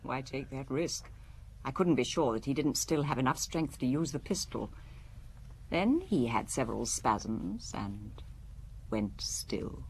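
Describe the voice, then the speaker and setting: A cold, self-possessed wife in an audio drama, with a very low voice and expressive rise and fall. She answers questioning deliberately, guarded and faintly scornful, over slight background noise. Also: exaggerated voice. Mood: cold, hushed. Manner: cool, faintly contemptuous, deliberate. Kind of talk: radio drama acting.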